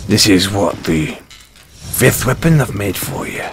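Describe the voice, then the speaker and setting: A man playing a kind, gruff dwarf blacksmith and former adventurer in a fantasy audio roleplay, speaking in a folksy, avuncular way. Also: lowish voice, expressive, unhurried, slight background noise. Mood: friendly, bargaining. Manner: hesitant, folksy, avuncular, addresses listener as lad. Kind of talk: fantasy audio roleplay acting, second-person dialogue.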